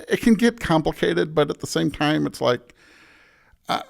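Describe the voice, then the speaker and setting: Halting, informal man in conversation. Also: fairly high pitch, expressive, gravelly. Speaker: pastor, podcast guest. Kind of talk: casual conversational interview, reflective.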